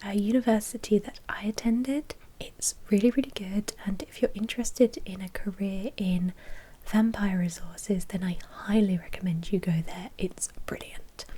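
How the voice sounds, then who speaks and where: A woman whispering an ASMR roleplay as a polite, businesslike vampire bank manager, explaining things softly and matter-of-factly with small hesitations. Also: medium pitch, slightly rough, poor audio.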